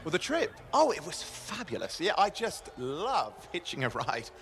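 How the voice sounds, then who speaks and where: A sarcastic wisecracking sidekick. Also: male voice, a little high, moderate pace, heavily acted. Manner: sarcastic and animated. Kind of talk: video game cutscene. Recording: game audio with music score.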